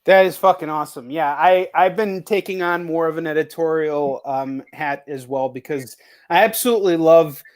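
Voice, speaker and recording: A man's voice: on the high side, moderate pace. A man hosting a casual livestream interview, rambling and rephrasing his questions as he goes, with some swearing. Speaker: host interviewing a guest.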